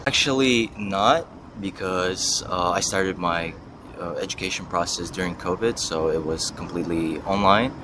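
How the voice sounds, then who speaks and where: A man's voice: low-pitched, normal speed, background noise. A young man giving casual interview answers as a university student, thinking aloud and hedging as he goes. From Russia and the US. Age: young adult.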